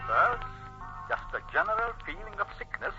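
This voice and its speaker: An actor playing a cruel, gloating villain in an old-time radio horror play, menacing and deliberate. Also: male voice, high voice, big pitch swings. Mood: gloating menace. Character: cruel gloating villain foretelling a victim's madness. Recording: muffled old radio, music behind.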